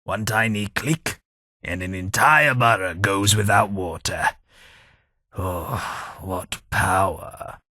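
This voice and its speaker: An English actor voicing a posh, sardonic ghoul gentleman companion in a video game, with a plummy, dry delivery. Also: sits low, takes it slowly, no room sound, full character voice. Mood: wry. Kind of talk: game voice lines.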